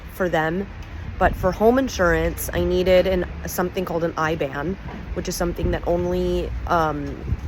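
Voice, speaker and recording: A woman from the United States, hoarse and raspy from bronchitis, chatting casually and digressively to camera outdoors on a street. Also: fairly low pitch, low-quality recording. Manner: chatty, digressive, thinking aloud. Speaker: expat vlogger. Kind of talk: casual vlog talk to camera. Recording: outdoor street noise behind.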